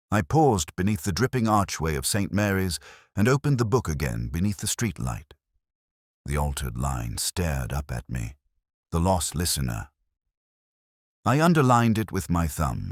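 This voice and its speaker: Male narrator for detective audio drama: extremely deep, varied intonation, literary and reflective, measured delivery. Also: no room sound, clean studio sound.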